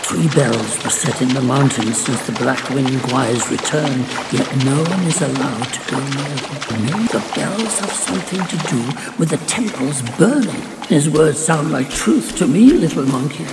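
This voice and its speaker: A man's voice: mid-range voice, expressive, a little slow, creaky, few pauses, rough recording. A man playing a wry, worldly guide in a video game cutscene, musing in a knowing, theatrical way over music. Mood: musing, wary. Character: wry, worldly guide counselling a young monkey. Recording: loud cinematic music behind.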